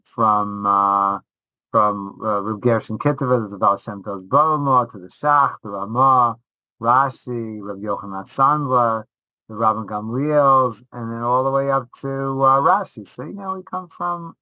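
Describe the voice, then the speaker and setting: Bright-voiced middle-aged man, animated and halting. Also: medium pitch, no echo, poor audio. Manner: animated, halting delivery with repeated false starts. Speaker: guest rabbi and veteran Torah teacher. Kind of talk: casual interview storytelling.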